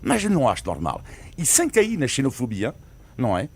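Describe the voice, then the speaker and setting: A man's voice: medium pitch, fairly quick, breathy edge. A man speaking as a commentator on a studio panel, emphatic and prone to repeating his points. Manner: animated, emphatic, repeats himself.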